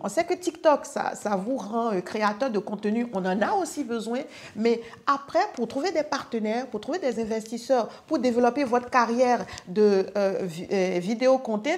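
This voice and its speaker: A woman from Africa, a guest in a podcast chat, talking in an emphatic, punchy, animated way. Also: fairly high pitch, moderate pace, few pauses. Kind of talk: casual chat.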